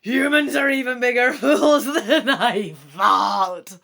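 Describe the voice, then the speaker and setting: A woman's voice: on the high side, gravelly, pushed and bright, pitched above the performer's own voice, exaggerated voice. Woman putting on a roaring, gloating evil dragon. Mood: gloating mockery. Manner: roaring, drawn-out, gloating delivery. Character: huge evil red dragon, scornful and gloating.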